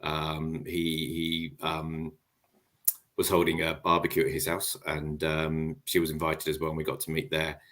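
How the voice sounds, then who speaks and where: Man, very deep voice, halting with lots of ums, casual storytelling, video call. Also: completely flat delivery, a bit bright. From expat living in Japan. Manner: halting, many ums and restarts. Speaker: guest panelist. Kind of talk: casual storytelling on a live web panel.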